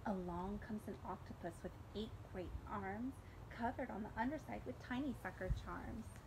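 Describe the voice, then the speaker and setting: A woman in her thirties, a teacher reading a picture book aloud to children outdoors in an expressive, deliberate way. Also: mid-range voice, creaky. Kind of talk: storybook read-aloud for children. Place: backyard, outdoors. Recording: outdoor ambient noise.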